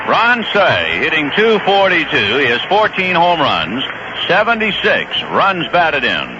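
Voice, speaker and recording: A male play-by-play announcer calls a baseball game on a vintage 1970s radio broadcast. His voice sits a little high and moves a lot, brisk and climbing on the big plays. The sound is muffled and lo-fi, with a thick layer of hiss underneath. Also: huge pitch swings, crowd noise. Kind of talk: live baseball radio commentary. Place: ballpark broadcast booth.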